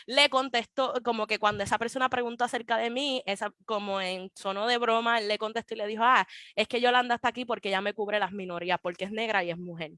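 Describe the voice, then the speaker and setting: A woman's voice: fairly high pitch, steady tone, quick pace, hardly any pauses, sharp tone, no echo, studio quality. A young woman from Puerto Rico with a Puerto Rican Spanish accent, a guest speaking reflectively with frequent hesitations and English asides. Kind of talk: casual conversation.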